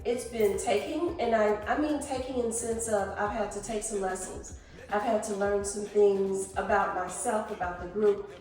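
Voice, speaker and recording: A woman's voice: medium pitch, steady tone, normal speed, a little mellow, far from the mic, faint music behind. A woman in her fifties chatting with friends in a playful, emphatic way and laughing easily. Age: 50s. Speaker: trip guest. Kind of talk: casual group chat. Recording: echoey room, away from mic.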